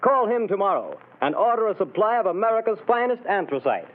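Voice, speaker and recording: A man's voice: big pitch swings, brisk, phone-quality sound. A male radio announcer giving an earnest, emphatic commercial read in a 1930s broadcast. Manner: earnest, emphatic sales pitch.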